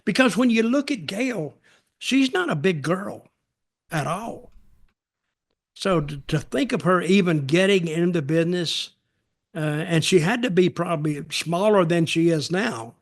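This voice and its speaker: An older man chatting casually as a podcast co-host, giving opinions in a halting way with repeated, restarted phrases. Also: high voice, expressive. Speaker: podcast co-host and wrestling veteran.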